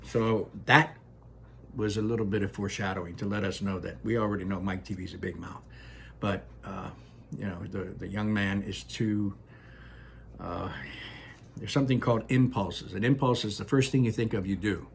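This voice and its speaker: A man's voice: on the low side, steady tone, moderate pace, creaky, a little hiss or hum. A man, a teacher, reading a children's book aloud and then chatting about it in a rambling, informal way. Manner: rambling and informal, full of fillers and restarts. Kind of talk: storybook read-aloud and chat. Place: room in his home without air conditioning.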